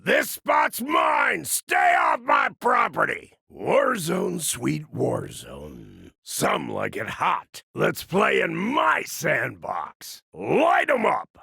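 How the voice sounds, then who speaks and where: Aging cyborg brawler, cocky, raspy, bright and forceful, drawling slowly, video game character. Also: male voice, on the high side, huge pitch swings, no echo, clean studio sound, exaggerated voice. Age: older. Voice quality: gravelly, raspy. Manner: folksy, wisecracking, drawling old-timer. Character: gruff, cocky aging male cyborg fighter, folksy old-timer.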